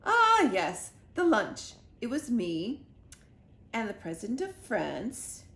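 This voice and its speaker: A woman's voice: a little high, wildly swooping pitch, slow pace, fairly bright tone, roomy sound, exaggerated voice. A woman voicing a prim, haughty English Queen, fussily polite and imperious, in a children's storybook reading. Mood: scolding, imperious. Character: prim, haughty elderly Queen of England on telephone. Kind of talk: children's storybook read-aloud.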